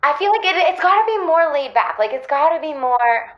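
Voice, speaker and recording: A woman playing a flighty, dramatic young caller who talks haltingly and repeats herself, heard over a dull lo-fi line. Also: sits high, lively intonation. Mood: restless, wistful. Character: flighty, dramatic young woman forever reinventing her personality. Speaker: caller to advice show. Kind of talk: improvised comedic phone-in.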